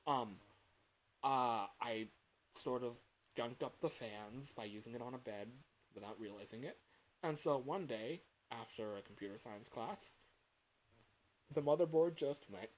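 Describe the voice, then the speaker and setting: A young man livestreaming in a casual chat, rambling and halting as he corrects himself mid-sentence. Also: medium pitch, a little hiss or hum, rough recording. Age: young adult. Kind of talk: casual chat with stream viewers.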